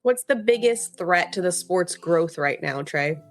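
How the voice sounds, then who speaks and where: A woman's voice: lowish voice, animated, unhurried, soft background music. A woman interviewing guests on a podcast in a conversational style.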